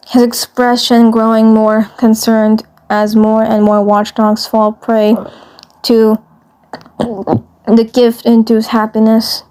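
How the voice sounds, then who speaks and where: Slow, halting woman narrator. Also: fairly high pitch, a little mellow. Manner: halting, repeats phrases while reading. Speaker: fan fiction narrator. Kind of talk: storytelling narration.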